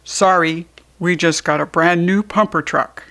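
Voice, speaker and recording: An American woman voicing apologetic, brisk workers who turn away a helper in a children's storybook. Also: fairly low pitch, fairly even intonation, a bit bright. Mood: apologetic, dismissive.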